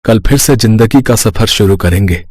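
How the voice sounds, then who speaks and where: Man acting a weary, earnest poor street vendor, husky. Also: lowish voice, normal speed, darkish tone, no room sound, studio quality, lightly acted. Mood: weary, resigned. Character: poor, hardworking pani puri vendor, worried earnest husband and father. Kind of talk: Hindi moral-story cartoon voice acting.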